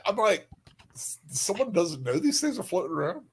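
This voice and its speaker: Male co-host, slightly rough-edged husky voice, animated intonation. Wry, informal banter. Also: high-pitched, moderate pace. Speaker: co-host of a book discussion stream. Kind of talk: casual chat, joking commentary.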